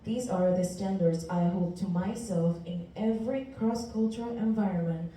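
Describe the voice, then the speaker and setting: In a reverberant room, picked up from some distance with faint noise, a woman in her twenties gives a prepared student talk. She is from Ecuador and speaks Spanish natively. Her voice is very dark and relaxed, and her delivery is earnest and deliberate. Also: mid-range voice. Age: 20s. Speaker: university student presenter. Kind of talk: prepared class presentation.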